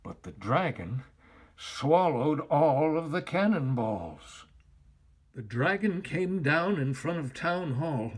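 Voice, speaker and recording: An older man reading a children's story aloud in an expressive, deliberate, dramatic way. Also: on the high side, slightly rough. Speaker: storyteller, actor.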